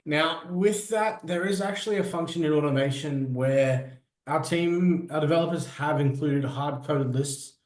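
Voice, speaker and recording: A man presenting an informal software demo, walking listeners through it step by step in an echoey room. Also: on the high side, measured pace, hardly any pauses.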